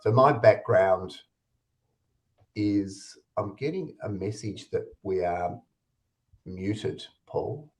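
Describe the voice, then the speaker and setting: An Australian man with a fairly low voice talks easily over a video call. His phrasing is loose and casual, with the occasional filler as he presents. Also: expressive, slow, pauses often, darkish tone. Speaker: head of content team. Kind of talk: webinar presentation.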